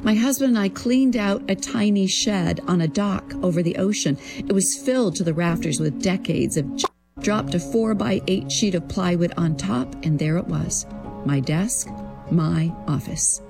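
A woman writer narrating her own memoir in an expressive, deliberate, literary way, acting out the dialogue. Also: fairly low pitch, vocal fry, over music. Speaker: creative writing teacher. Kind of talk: prepared personal storytelling, narrated memoir.

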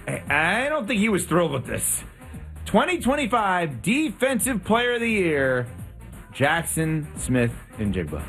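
A man's voice: sits high, wildly swooping pitch, a little slow, fairly bright tone, faint music behind. A man with a New York accent hosting a casual sports talk show, jokey and self-deprecating. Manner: jokey, self-deprecating, laughs at own slips.

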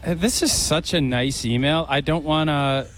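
A man's voice: on the high side, normal speed, fairly bright tone, soft background music, poor audio. A man co-hosting a radio show, talking in a rambling, self-conscious way and laughing often. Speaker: radio co-host. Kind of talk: casual radio banter.

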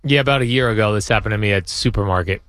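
A man's voice: lowish voice, rapid-fire, fairly bright tone, dry recording. A man on a radio talk show, casually telling an anecdote.

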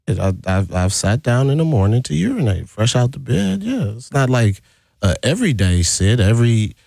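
A man's voice: medium pitch, very animated, normal speed, vocal fry, darkish tone, no echo. A man in his forties bantering casually as a radio show sidekick, slangy and joking. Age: 40s.

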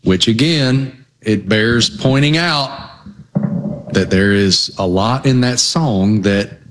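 A man's voice: lowish voice, slow pace, noisy background. A man with a Southwest Virginia accent co-hosting a sports radio show, chatting casually with folksy, emphatic phrasing. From Southwest Virginia, United States. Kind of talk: casual chat.

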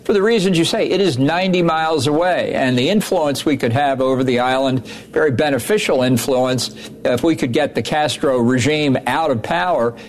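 Male ex-ambassador guest, slightly high voice, deliberate, restarts often. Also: a little hiss or hum. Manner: measured, repeats words as he starts sentences. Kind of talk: news interview.

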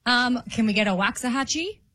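A woman's voice: on the high side, brisk, pushed and bright. A woman chatting casually as a co-host on a radio show. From Austin, Texas. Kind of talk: casual chat.

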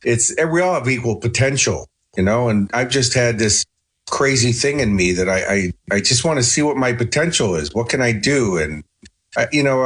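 A man's voice: mid-range voice, a bit bright, breathy edge. A middle-aged man with a Chicago accent, a talkative, self-assured guest answering interview questions.

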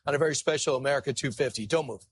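A man hosting a talk show, asking probing questions in a brisk, conversational way. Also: medium pitch, noisy background.

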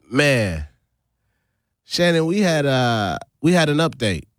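A young man co-hosting a radio show, joking around in slangy, animated banter. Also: medium pitch, a little hiss or hum. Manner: animated, laughing, slangy, quick to imitate others. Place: radio studio.

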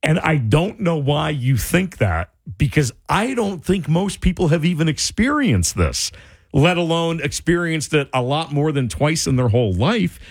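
A male radio host in casual on-air chat, animated and incredulous, his voice starting to go. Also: a little high, slightly rough, no echo. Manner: animated, incredulous, quick asides. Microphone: studio microphone.

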